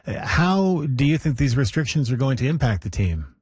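A man hosting a broadcast interview, putting questions in a measured, polite way. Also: mid-range voice, big pitch swings, a little mellow, slightly rough, no room sound. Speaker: radio interviewer, host.

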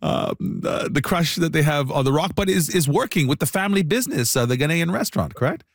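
A man's voice: on the high side, animated, a little fast, vocal fry, no room sound. Man interviewing with a dry sound. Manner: affirming, stumbling lead-ins.

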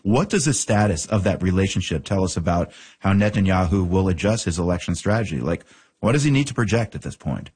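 A male radio host presenting news and interviewing in a calm, scripted way. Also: deep voice, brisk, slightly rough.

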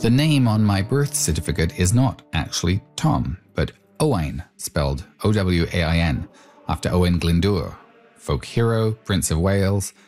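Male documentary narrator, deep and lively, faint music bed. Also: normal speed. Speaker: interviewer and narrator. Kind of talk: scripted narration and interviewing.

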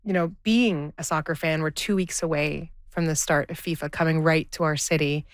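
A woman interviewing a guest, asking clear and friendly questions. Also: low voice, steady tone, moderate pace, no echo, studio quality.

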